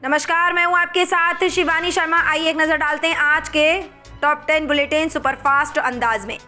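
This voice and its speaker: A woman news anchor reading scripted headlines in a brisk, formal bulletin style. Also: high voice, fairly even intonation, pushed and bright, faint music behind.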